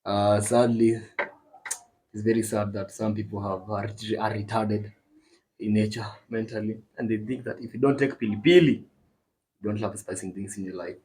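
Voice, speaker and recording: A man's voice: fairly low pitch, steady tone, moderate pace, darkish tone, low-quality recording. A man with a Kenyan accent hosting a podcast, joking and boasting in casual banter. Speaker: podcast host.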